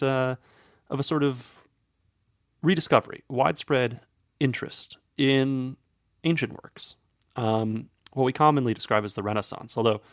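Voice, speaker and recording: A man co-hosting a history podcast, explaining in a measured way. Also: lowish voice, gravelly, no room sound, clean studio sound. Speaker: podcast co-host. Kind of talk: scripted narration.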